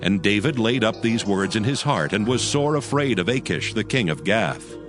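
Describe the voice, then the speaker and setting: A male narrator with a lowish voice delivers scripted scripture storytelling. His tone is solemn but varies widely in pitch. Music plays clearly behind him. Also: animated, normal speed.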